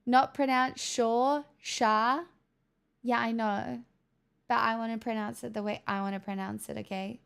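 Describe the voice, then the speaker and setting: A young woman chats casually through a livestream, answering viewers, swearing now and then and joking along the way. Her voice is smooth and clear and sits slightly higher than most. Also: fairly even intonation, a little slow, a bit bright. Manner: chatty, sweary, joking, answers chat messages. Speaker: livestream host reading chat.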